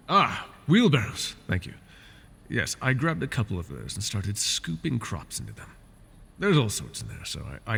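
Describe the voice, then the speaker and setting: A man voicing a wounded male dragon who keeps a human captive, soft-spoken and polite, often slipping into whispers, for an ASMR fantasy roleplay. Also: fairly low pitch, gravelly, slight background noise, full character voice. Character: wounded male dragon, possessive yet doting captor.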